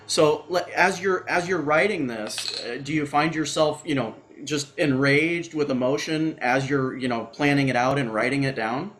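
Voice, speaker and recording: A man hosting a casual interview, informal and quick to react with short interjections. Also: fairly high pitch, fairly bright tone. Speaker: interviewer, host. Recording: noisy background with clicks.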